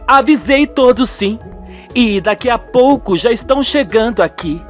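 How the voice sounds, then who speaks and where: A man's voice: extremely high pitch, lively intonation, normal speed, faint music behind, voice pushed up. A man voicing a distressed middle-aged mother in a dramatic radio soap style. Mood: worried, suspicious. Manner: dramatic, anguished.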